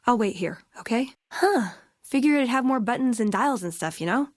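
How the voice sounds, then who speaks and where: A woman's voice: fairly high pitch, animated, normal speed, no room sound. An actress playing a young, wry and idealistic woman scribe in a video game, speaking conversationally with dry humour. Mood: excited, playful. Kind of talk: acted video game companion dialogue.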